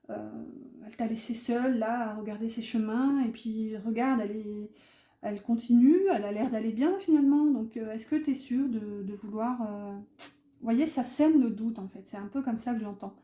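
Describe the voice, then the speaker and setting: A woman's voice: fairly high pitch, moderate pace, warm tone. A woman giving a casual, improvised tarot reading to viewers, chatty and often searching for her words. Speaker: tarot reader hosting an online guidance. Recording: roomy, echoey sound.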